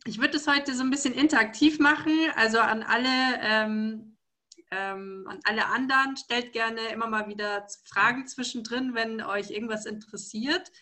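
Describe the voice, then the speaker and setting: A woman's voice: fairly high pitch, steady tone, normal speed, bright, forceful tone. A woman hosting an interactive group talk, introducing her guest with many fillers and restarts. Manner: friendly, hesitant with many fillers. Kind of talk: casual interview moderation.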